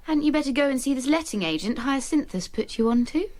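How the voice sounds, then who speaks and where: Sharp-tongued young woman, dry teasing retorts, lively pitch. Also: fast. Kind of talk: BBC radio drama acting.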